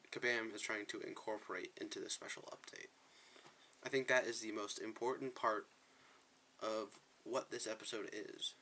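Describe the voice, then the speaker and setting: Man with bright, creaky voice commentating gameplay. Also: mid-range voice. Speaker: gaming video host.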